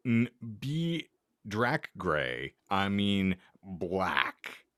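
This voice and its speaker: Male podcast host, deep and unhurried, reading awful fan fiction aloud with weary deadpan. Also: no room sound, studio quality.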